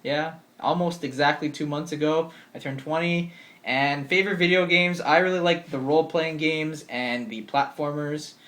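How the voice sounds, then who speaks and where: A man's voice: fairly high pitch, fairly even intonation, moderate pace, a bit bright. A man of twenty answering viewer questions in a casual vlog, chatty and upbeat, full of ums and likes. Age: 20s. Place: bedroom with game shelves.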